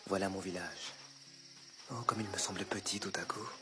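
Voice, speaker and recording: An actor playing a tender young man, a village hero happy to be home, in an animated film. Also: sits low, moderate pace, noisy background, lightly acted. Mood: moved, happy.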